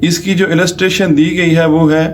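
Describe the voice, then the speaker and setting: A man's voice: a little high, level tone, moderate pace, soft background music. A man from Pakistan with a Pakistani accent, lecturing as a lawyer in a methodical, step-by-step way and mixing in English legal terms. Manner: methodical, explains step by step with restatements. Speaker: lawyer giving a law lecture.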